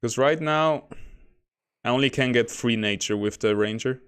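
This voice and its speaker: A man chats casually over his gameplay stream in a soft, low-key voice at a middle pitch. He describes his own delivery as monotone, a kind of bedroom voice. He drifts between topics and chuckles between remarks. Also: moderate pace, clear, resonant tone. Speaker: game streamer. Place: home.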